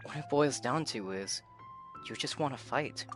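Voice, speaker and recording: A man's voice: medium pitch, lively intonation, moderate pace. A man livestreaming a game with casual, playful, chatty reaction commentary. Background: game music.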